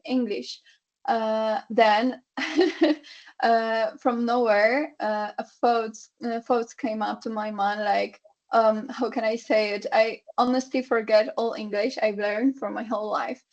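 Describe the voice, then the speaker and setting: A woman's voice: a little high, a little mellow. A woman whose first language is Polish asks a question at a workshop, hesitant and full of fillers. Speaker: workshop participant. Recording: dull lo-fi audio.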